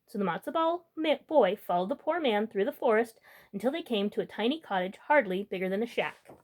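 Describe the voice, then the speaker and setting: A woman's voice: mid-range voice, lively intonation, fairly quick, a little mellow. A woman hosting a storytime, reading a children's book expressively and adding informal asides. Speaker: storytime host reading aloud.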